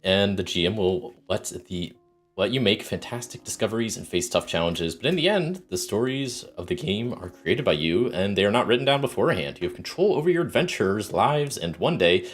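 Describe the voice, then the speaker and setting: A man with a very deep, low voice, croaky from a sore throat that is starting to take his voice. He talks in loose, rambling livestream chat, full of ums and restarts as he greets viewers and explains rules. Soft music plays faintly behind him. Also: animated, normal speed. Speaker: solo RPG streamer.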